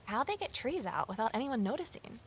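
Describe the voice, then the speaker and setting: A woman's voice: on the high side, sing-song, a little fast, a little hiss or hum. An actress playing a curious, watchful amateur investigator, telling her mystery in the first person and talking with others. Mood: friendly, inquisitive. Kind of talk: audio drama narration and dialogue.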